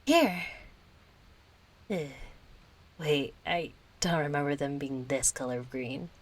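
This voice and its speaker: A woman's voice: low voice, takes it slowly. A woman acting a kind, chatty young friend in an intimate audio roleplay, casual, playful and a little hesitant. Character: kind, chatty young female friend, gently yearning for closeness.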